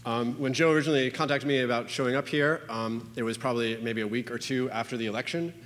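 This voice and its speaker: A man giving an informal conference talk, halting and full of "uh" and "you know", with wry asides. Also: medium pitch, fairly even intonation, a bit bright. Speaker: conference speaker. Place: conference hall. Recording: long reverb of a lecture hall.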